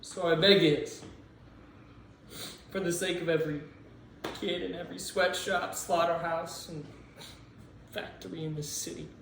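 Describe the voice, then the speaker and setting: A man's voice: a little high, little pitch movement, measured pace, gravelly, pauses often, a bit bright. A young man playing a streetwise, earnest newsboy strike leader in a theatrical monologue, pleading in colloquial street slang. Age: young adult. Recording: very echoey room, distant mic, faint noise.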